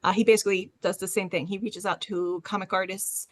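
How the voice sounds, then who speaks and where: A woman speaking as a panelist in an online session, explaining informally with frequent hesitant pauses. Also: medium pitch, level tone. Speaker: panelist, librarian. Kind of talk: informal presentation with slides. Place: online panel, close dry sound.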